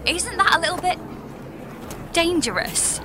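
A woman's voice: very high voice, sing-song, a little slow, background noise, heavily acted. A woman playing an exasperated, naive and incredulous space traveller in an audio comedy drama. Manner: incredulous, indignant, plain-spoken.

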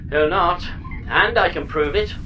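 An actor playing a dry, pedantic, teasing robot companion in a sci-fi audio comedy, with a deadpan, needling manner. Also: medium pitch, a little slow, lo-fi sound, slight background noise, lightly acted. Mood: smug teasing. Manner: deadpan, matter-of-fact, needling.

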